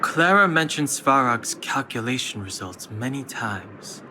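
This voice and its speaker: A man as a deadpan, analytical young man. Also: lowish voice, noisy background, natural voice. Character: cool, analytical young man, dryly teasing. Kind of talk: scripted video game voice acting.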